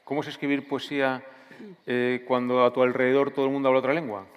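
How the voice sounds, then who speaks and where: A man's voice: mid-range voice, flat delivery, fast talker, clean tone, in a large hall. A man interviewing a writer at a literature festival, building long questions with hesitations.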